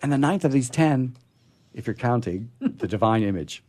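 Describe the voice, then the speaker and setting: A man reading poetry aloud in a measured way and commenting on it casually, as on a radio program. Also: mid-range voice, expressive, darkish tone.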